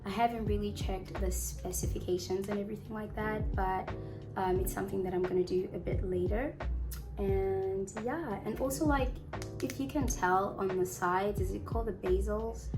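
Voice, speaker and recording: A young woman with a slightly hoarse voice from a flu, chatting casually and rambling a little while she unboxes a phone. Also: medium pitch, moderate pace. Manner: chatty, rambling, uses fillers like "um" and "like". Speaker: unboxing vlogger. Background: music in the background.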